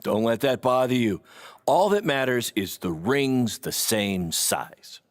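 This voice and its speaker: An actor playing an upbeat, defensive boss of a small wrestling promotion in a video game, reassuring and folksy. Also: male voice, medium pitch, expressive, takes it slowly. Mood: encouraging. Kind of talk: video game cutscene acting.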